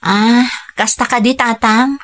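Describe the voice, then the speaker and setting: A woman's voice: fairly high pitch, steady tone, unhurried, fairly bright tone. A woman playing a young, dutiful, burdened Filipina daughter working overseas in an Ilocano radio drama, earnest and plaintive, with an Ilocano accent. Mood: anxious, hesitant. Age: young adult. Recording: music bed under the voice. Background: music.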